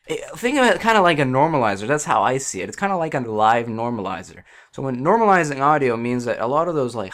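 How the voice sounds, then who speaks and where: A man's voice: mid-range voice, fairly bright tone. A man at his computer, speaking into a headset and explaining audio settings in a rambling, hesitant way full of fillers. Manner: hesitant, many fillers and restarts, self-deprecating. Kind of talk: casual rambling tech explanation. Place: at a computer by a wall indoors.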